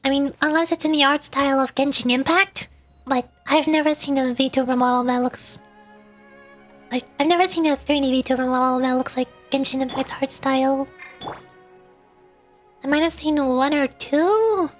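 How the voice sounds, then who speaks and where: A woman with a very high voice streams as a VTuber, with music playing behind her. She chats casually to her viewers, rambling and repeating words, with playful little exclamations. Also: moderate pace. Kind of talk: casual livestream chat with viewers. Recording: background music.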